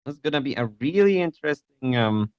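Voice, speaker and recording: Young man, Polish native, GM on voice chat; halting, checks in a lot, dry mic, middle pitch. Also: animated, clear, resonant tone, no echo, lo-fi sound. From Poland. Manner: hesitant, self-deprecating, often checks in with "right?". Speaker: game master. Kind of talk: casual online tabletop game chat.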